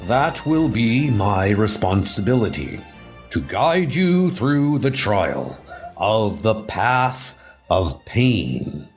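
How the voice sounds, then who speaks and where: Man acting ceremonial Viking elder guardian, grandiose, formal, measured pace, sweeping intonation, music behind. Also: fairly low pitch, very animated, exaggerated voice. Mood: solemn. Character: Onadir, solemn ceremonial Viking guardian of ancient flame. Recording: music under the voice.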